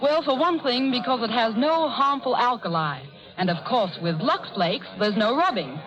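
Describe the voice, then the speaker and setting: Actress as a knowing fashion columnist, muffled recording. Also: female voice, on the high side, lively intonation, normal speed, a crowd in the background, lightly acted. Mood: helpful. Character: knowing, chic fashion columnist in a soap advertisement. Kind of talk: 1930s radio commercial acting. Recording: muffled vintage radio recording.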